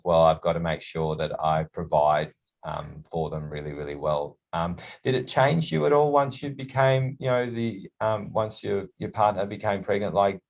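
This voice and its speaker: A man hosting a podcast, asking loose, halting questions full of fillers. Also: low-pitched, darkish tone, lo-fi sound. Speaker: podcast host. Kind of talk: casual interview chat.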